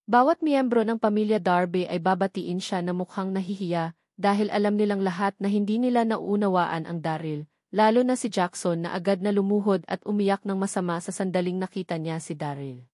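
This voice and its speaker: A woman's voice: mid-range voice, darkish tone, dry recording, studio quality. A woman reading a novel aloud as a scripted story narration. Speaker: audiobook narrator.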